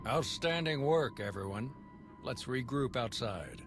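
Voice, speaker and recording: A man's voice: mid-range voice, animated, unhurried, close to an everyday voice. A man voicing a dutiful, rousing military leader in a video game. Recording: background music.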